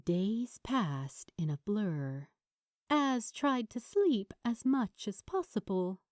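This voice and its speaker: A woman reading a story as an audiobook narrator, with dramatic, deliberate phrasing and pauses. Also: mid-range voice, very animated, a little mellow. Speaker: narrator of fan fiction.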